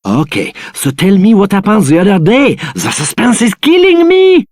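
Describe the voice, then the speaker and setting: Nosy, animated barman. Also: high voice, moderate pace, dry recording. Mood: eager, conspiratorial. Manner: theatrical, gasping, eager for gossip. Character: nosy, easily shocked barman. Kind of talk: video game dialogue acting.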